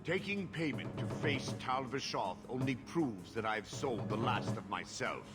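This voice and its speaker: An actor playing a proud, self-scorning outcast warrior in a video game, speaking in formal, clipped, deliberate sentences. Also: male voice, mid-range voice, over loud music, close to an everyday voice. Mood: proud, bitter. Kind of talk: dramatic video game acting.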